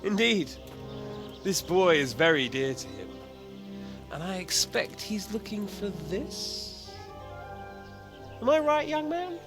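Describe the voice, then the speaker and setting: A man's voice: high voice, sing-song, takes it slowly, long pauses, over music, heavily acted. An actor playing a smug, menacing foreign nobleman villain in a medieval game, with a mock-courteous, taunting delivery. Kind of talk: video game cutscene acting.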